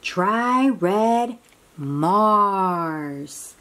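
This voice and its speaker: Very slow, animated woman reading to children. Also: mid-range voice. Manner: animated, exclaiming, coaxing questions to young children. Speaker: read-aloud host for young children. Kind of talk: children's picture-book read-aloud with teaching asides.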